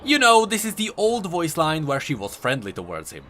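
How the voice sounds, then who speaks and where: A man's voice: a little high, huge pitch swings, moderate pace, pushed and bright, slight background noise. A man doing an enthusiastic, conversational voice-over commentary as a video host. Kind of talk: game lore commentary voice-over.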